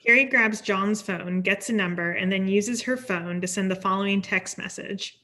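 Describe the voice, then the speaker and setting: A woman's voice: mid-range voice, normal speed, pushed and bright. A woman reading scripted screenplay stage directions at a table read.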